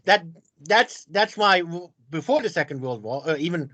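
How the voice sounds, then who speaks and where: A man chatting on a video call, halting and stammering with repeated words. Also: on the high side, animated, bright, forceful tone, no echo. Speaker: conversation partner. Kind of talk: casual video call chat.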